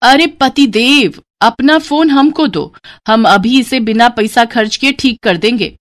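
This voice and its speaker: A woman's voice: a little high, a little slow, lightly acted. A woman voicing a brash, cheeky young village daughter-in-law in a folksy, exclamatory way with a rustic Banaras accent, for a story. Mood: cocky.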